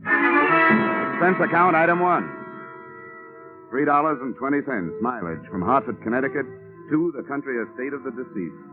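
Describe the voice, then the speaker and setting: A man's voice: medium pitch, sing-song, moderate pace, long pauses. A man narrating and playing a wisecracking detective in 1940s radio drama style, dry and sardonic. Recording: tinny old radio broadcast with music.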